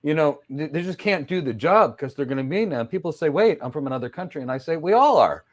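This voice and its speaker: A man's voice: a little high, fast. A man of Greek background hosting a casual interview, telling emphatic stories. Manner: animated, emphatic storyteller. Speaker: host.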